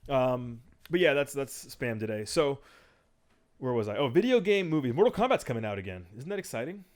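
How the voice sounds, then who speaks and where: A man's voice: medium pitch, sing-song, fairly quick. A man hosting a casual podcast chat, rambling and joking as he introduces topics. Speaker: podcast host.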